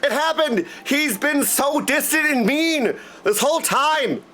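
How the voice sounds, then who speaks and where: A man giving casual, excitable, jokey live commentary as he streams a game. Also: very high-pitched, measured pace, a little hiss or hum. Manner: excitable, jokey, bursts of exclamation.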